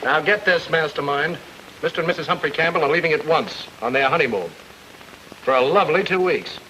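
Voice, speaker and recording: An actor playing a breezy, unflappable detective and newlywed with flippant, slangy banter in an old-time radio comedy-mystery. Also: male voice, a little high, a little fast, bright, forceful tone. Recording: noisy, clicky vintage radio recording.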